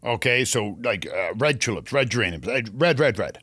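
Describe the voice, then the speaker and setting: A man with a Canadian accent chatting casually as co-host of a gardening show, telling anecdotes in an animated way. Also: mid-range voice, normal speed, slightly rough, no echo, studio quality.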